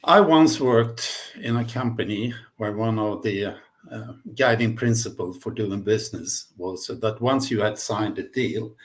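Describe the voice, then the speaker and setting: Swedish guy presenting on a webinar, lowish voice, kinda halting as he talks. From Sweden. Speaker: guest speaker, management consultant. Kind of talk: webinar presentation, semi-spontaneous.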